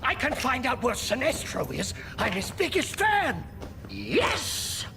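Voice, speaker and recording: A woman playing an excitable young villain fangirl in a video game, gushing and eager. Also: high-pitched, slow, over music, full character voice. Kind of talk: video game cutscene acting.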